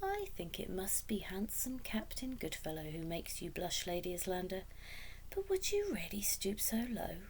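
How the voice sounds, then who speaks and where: A woman's voice: medium pitch, big pitch swings, moderate pace, darkish tone, slight background noise, lightly acted. A cold, scheming nobleman from a children's storybook, acted out by a woman reader. He speaks with courtly politeness that carries menace. Every phrase is insinuating and probing, as if testing the listener. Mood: sneering, insinuating.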